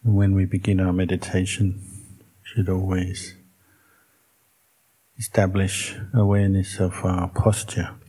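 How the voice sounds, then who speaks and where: Deep, husky man guiding meditation slowly. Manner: calm, measured, with long pauses between short phrases. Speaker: Buddhist monk teaching meditation. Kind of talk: guided meditation instruction.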